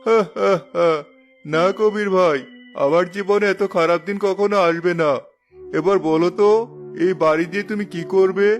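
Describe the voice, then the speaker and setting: A man's voice: high-pitched, steady tone, normal speed, soft background music. A man voicing a smug, mocking village neighbour in a cartoon, teasing and sarcastic. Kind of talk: cartoon voice acting.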